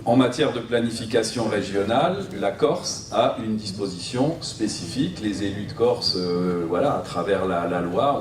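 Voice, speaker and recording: A man answering as a planning expert at a seminar, explaining off the cuff with a hesitant, halting delivery. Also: sits low. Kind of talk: spontaneous public explanation. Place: seminar room, recorded from a distance. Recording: roomy, distant mic, faint noise.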